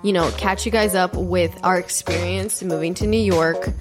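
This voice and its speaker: A young woman co-hosts a podcast from a table in her apartment, a bit stuffy and nasal from a cold. Her voice sits on the lower side, and she rambles in a relaxed, chatty way with plenty of fillers, while soft music plays faintly behind her. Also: moderate pace. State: has a cold, slightly nasal. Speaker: podcast co-host. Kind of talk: casual chat.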